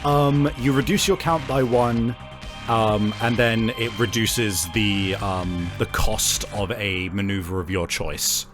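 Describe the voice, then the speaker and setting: Slow-paced man running a tabletop game. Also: on the low side, fairly even intonation, music in the background. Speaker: game master. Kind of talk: improvised narration of a tabletop horror game.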